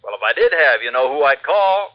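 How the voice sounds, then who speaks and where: An actor playing a breezy, defensive insurance agent who dodges questions, in a 1950s radio drama. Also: male voice, medium pitch, very animated, a little fast, close to an everyday voice. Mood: evasive. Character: evasive, jovial male insurance agent. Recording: dull lo-fi 1950s radio recording.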